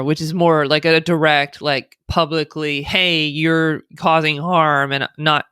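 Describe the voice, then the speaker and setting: American woman guest, measured and explanatory, clean dry recording. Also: low-pitched, fairly bright tone, clear, resonant tone, no echo. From United States. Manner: thoughtful, speaks in long explanatory runs with fillers. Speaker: guest, diversity consultant. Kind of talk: conversational interview.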